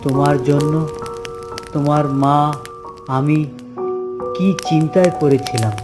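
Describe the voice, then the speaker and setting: A man's voice: fairly high pitch, a little slow, natural voice. A man voicing a worried village father, stern but loving, in a children's cartoon. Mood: scolding. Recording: music behind the voice.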